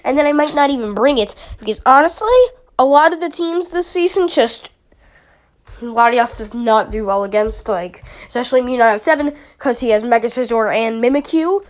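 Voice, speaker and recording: A woman's voice: a little high, lively intonation, normal speed. A young woman with a sniffly summer cold, chatting casually and rambling about her team picks, with many fillers. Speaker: YouTuber analyzing a draft league team. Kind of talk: casual commentary.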